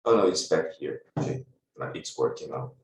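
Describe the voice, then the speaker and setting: A man hosting an online session on a video call, relaxed and a little hesitant. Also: extremely low pitch, normal speed. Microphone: computer mic on a video call. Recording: roomy, distant video-call audio.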